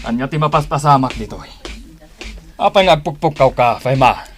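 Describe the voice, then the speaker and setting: A man's voice: medium pitch, lively intonation, moderate pace, natural voice. A man playing a stern, commanding office boss in a Filipino radio drama, with an Ilocano accent. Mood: scolding.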